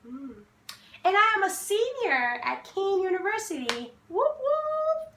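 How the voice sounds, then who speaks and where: Woman, 20s, from Haiti; high bright voice, slow delivery, playful and confident, roomy echo. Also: big pitch swings. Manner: playful, self-assured, laughs often. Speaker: vlogger host. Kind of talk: casual sibling Q&A chat.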